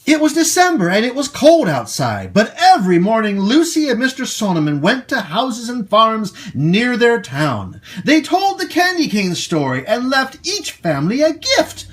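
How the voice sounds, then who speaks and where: Children's storytime host, male, husky voice, highly animated pitch, emphatic delivery aimed at little kids. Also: normal speed, hardly any pauses. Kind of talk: expressive children's story reading and friendly announcements to kids.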